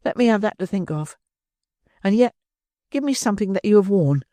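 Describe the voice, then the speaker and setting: A woman's voice: medium pitch, expressive, a little fast, mellow, no echo, pitched above the performer's own voice, natural voice. A woman voicing a desperate, frightened young woman of the streets in an agitated, pleading way.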